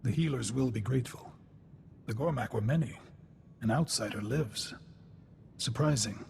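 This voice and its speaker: A solemn alien in a video game. The voice is deeply creaky and detached, speaking tersely and formally in long reverb over a faint rumble. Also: male voice, normal speed, a little mellow. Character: solemn, terse male alien healer's envoy. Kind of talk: video game cutscene acting.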